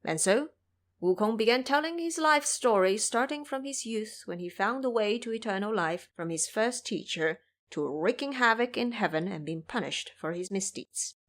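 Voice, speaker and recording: Lively woman narrating a fantasy epic. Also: medium pitch, moderate pace. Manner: vivid, expressive storytelling. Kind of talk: scripted storybook narration.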